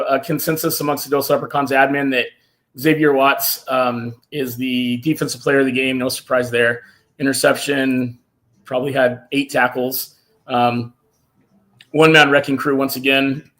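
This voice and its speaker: A man hosting a casual fan live stream, excited and a little hoarse from cheering, reading out viewer comments. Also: mid-range voice. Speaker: fan show host.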